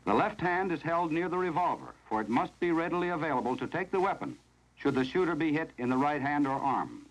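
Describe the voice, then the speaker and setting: An American man reading scripted narration in the formal, measured, instructional manner of a 1970s training film, on a vintage film soundtrack. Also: on the high side, animated, low-quality recording.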